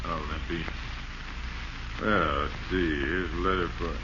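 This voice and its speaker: An actor playing a curt, bullying prison guard with a mocking manner, in 1940s radio drama style. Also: male voice, low-pitched, steady tone, measured pace. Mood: curt, official. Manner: brusque, mocking. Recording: noisy lo-fi 1940s radio recording.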